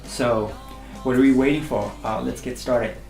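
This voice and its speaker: A man's voice: medium pitch, fairly even intonation, normal speed, gravelly, reverberant, over music. A man whose first language is Burmese, teaching a language lesson and patiently repeating each sound three times. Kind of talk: slow instructional lesson.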